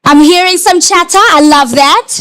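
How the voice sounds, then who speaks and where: A woman's voice: very high-pitched, normal speed, fairly bright tone. Upbeat woman emcee. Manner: upbeat, engaging the crowd. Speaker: event host. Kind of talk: emcee crowd work.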